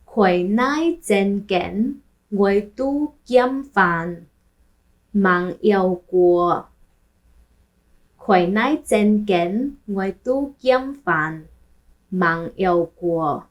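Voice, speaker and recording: A woman who grew up speaking Taishanese, hosting a language lesson where she repeats phrases slowly and translates them. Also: medium pitch, muffled recording. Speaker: language teacher and host. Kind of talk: language lesson with film clips.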